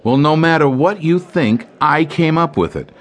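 An actor playing a scheming male jazz clarinetist in an audio drama, smooth-talking and sly. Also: a little high, expressive, moderate pace, lightly acted. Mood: curt. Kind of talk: radio drama acting.

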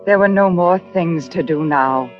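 A woman's voice: lowish voice, measured pace, close to an everyday voice. An actress playing a haunted, guilt-ridden murderess, narrating her crime in tense, dramatic 1940s radio style. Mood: trembling, obsessive. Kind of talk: 1940s radio suspense acting. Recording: dull old radio recording with music.

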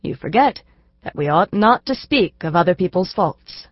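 A woman voicing a grave, gentle father who reproves his daughter in a soft, measured way. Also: fairly low pitch, lively intonation, no echo, muffled recording.

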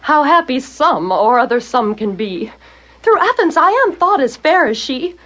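A woman's voice: sits high, sing-song, normal speed, fairly bright tone, heavily acted. An actress playing a lovesick, envious young Athenian woman in Shakespearean audio theater, speaking verse plaintively and emphatically. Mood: wistful. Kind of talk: full-cast Shakespearean audio theater acting.

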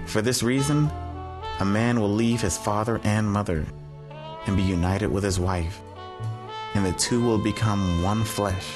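An actor playing a laid-back, excitable first man in a comic audiobook Bible drama, with a hip, casual, exclamatory way of talking. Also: on the low side, close to an everyday voice. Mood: smitten. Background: music.

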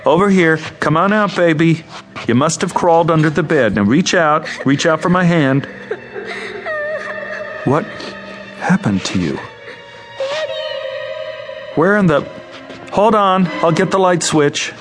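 A man's voice: on the high side, lively intonation, unhurried, pauses often, music in the background, low-quality recording. An actor playing a harried, grumbling but worried young father in a radio drama. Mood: alarmed, searching.

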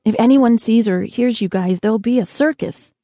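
A woman's voice: a little high, normal speed, dark tone, dry recording, studio quality, pitched above the performer's own voice. A woman voicing a shy young boy in an audiobook, hesitant and informal in the way of talking. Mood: urgent, hushed. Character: young boy, awed, shy, casual-talking American kid.

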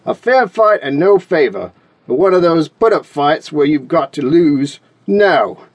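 Lady doing a big grumpy dragon, rumbly and growly, talks straight, no fuss. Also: animated, measured pace, a little mellow. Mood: grumbling. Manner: gruff and straight-talking. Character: grumpy huge male dragon, blunt and stubborn.

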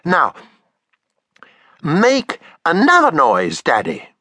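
A man reading aloud and voicing an eager, excitable little cave girl in a storybook, chirpy and breathless with excitement. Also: on the high side, very slow, slightly rough, no room sound, studio quality, voice pushed up, full character voice. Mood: excited.

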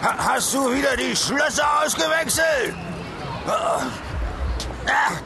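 A man playing a coarse, hot-tempered, gruff tough guy in an audio drama. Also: extremely high pitch, animated, slow, full character voice. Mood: drunken anger. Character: foul-mouthed, hot-tempered, rough working-class man. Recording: loud music and crowd ambience.

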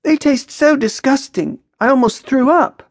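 A woman narrator voicing a lively, teasing, earnest young woman with a lyrical, expressive way of talking in a story. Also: fairly high pitch, normal speed, vocal fry, dry recording, pitched above the performer's own voice. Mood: disgusted, embarrassed.

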